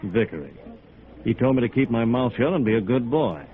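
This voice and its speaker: Man playing sardonic American architect, mid-pitched, terse, resigned, vintage radio drama, band-limited sound. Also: animated, brisk, phone-quality sound, close to an everyday voice. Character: bitter, resigned male architect. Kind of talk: 1950s American radio noir acting.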